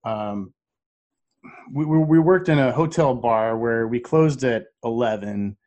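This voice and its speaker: A man co-hosting a podcast, chatting in a relaxed, hesitant way with frequent fillers. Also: medium pitch, measured pace. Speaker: podcast co-host. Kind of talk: casual chat.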